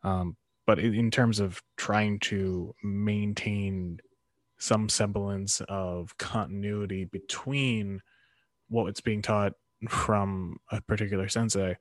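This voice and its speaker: A man in casual conversation, thinking aloud and giving his opinions in a halting way. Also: on the low side, no echo. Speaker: karate practitioner in discussion.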